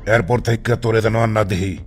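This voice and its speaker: A man's voice: fairly low pitch, fairly even intonation, normal speed, natural voice. A man voicing a bossy, irritable kidnapper boss in a comic cartoon, scolding and commanding in colloquial Bangladeshi Bengali. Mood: impatient scolding. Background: music.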